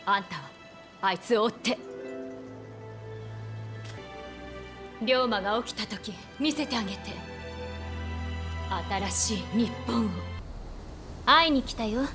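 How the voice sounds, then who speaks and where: A woman's voice: on the high side, measured pace, pauses often, fairly bright tone, faint music behind. A woman playing a spirited, caring young woman of old Japan in a video game, with a familiar, plain-spoken feminine manner. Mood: subdued, resolute. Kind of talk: video game cutscene acting.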